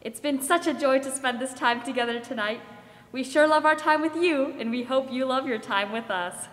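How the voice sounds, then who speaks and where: A woman's voice: sits high, normal speed, fairly bright tone. A teenage girl playing a gracious woman host of a 1940s radio show. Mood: gracious, sincere. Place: theater stage with microphones on stands. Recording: echoey hall stage pickup.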